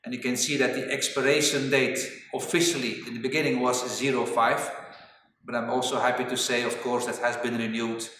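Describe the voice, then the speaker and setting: A middle-aged man with a Flemish Belgian accent delivers a corporate training introduction at an ordinary male pitch. He explains deliberately, leaning on the openings of his phrases. The room rings with heavy echo, and he sounds set back from the microphone. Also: little pitch movement, pushed and bright, slight background noise. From Belgium (Flanders). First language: Flemish Dutch. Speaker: webinar presenter, safety engineering trainer. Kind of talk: corporate webinar presentation. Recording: very echoey reverberant room, distant mic.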